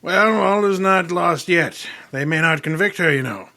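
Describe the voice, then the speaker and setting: A man's voice: fairly high pitch, moderate pace, gravelly, sharp tone. A man playing a pompous, irritable genius detective in an old-time radio mystery, speaking in formal, wordy sentences. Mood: composed.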